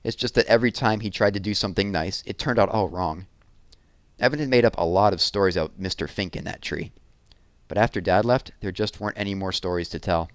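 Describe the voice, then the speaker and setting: Low-voiced man reading a children's book aloud. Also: brisk, smooth, dry recording. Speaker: read-aloud narrator. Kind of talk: expressive children's chapter book read-aloud.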